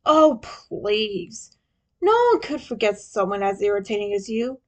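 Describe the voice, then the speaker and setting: A woman's voice: mid-range voice, measured pace, darkish tone, lightly acted. A woman voicing a proud, hostile male alien warlord in armor, speaking in a haughty, stilted and curt manner. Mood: disdainful.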